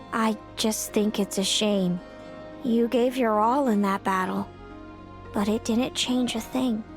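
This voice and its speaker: A woman playing a solemn, fatalistic young seeress in a video game, speaking in a measured, brooding, formal way. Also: on the high side. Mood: bitter, resigned. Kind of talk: dramatic video game voice acting.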